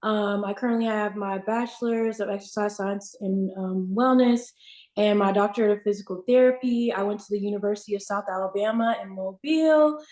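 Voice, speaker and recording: A woman, a physical therapist on a panel, speaking in a measured way with many ums. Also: medium pitch, a little mellow. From Pensacola, Florida. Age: 20s. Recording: roomy echo.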